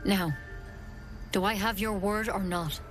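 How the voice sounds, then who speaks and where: A woman's voice: medium pitch, fairly even intonation, a little slow, close to an everyday voice. An actress playing an earnest, protective druid healer in a video game, plain-spoken and grave, with music behind her. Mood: grave, frank. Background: background music.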